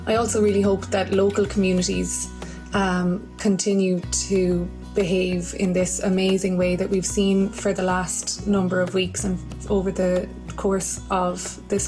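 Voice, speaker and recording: A woman delivering a measured personal message. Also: medium pitch, rough recording. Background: instrumental music.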